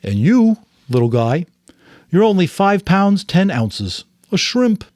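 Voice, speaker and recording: A man's voice: high-pitched, very animated, slow pace, darkish tone, no echo, studio quality, pitched above the performer's own voice, exaggerated voice. A man voicing a cheerful, doting father in a storybook, with a singsong manner. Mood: cooing. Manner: singsong baby talk.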